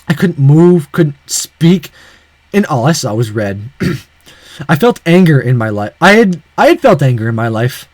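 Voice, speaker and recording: A man's voice: fairly high pitch, big pitch swings, a little slow, a little mellow, no echo. A man reading a visual novel aloud in dramatic first-person narration.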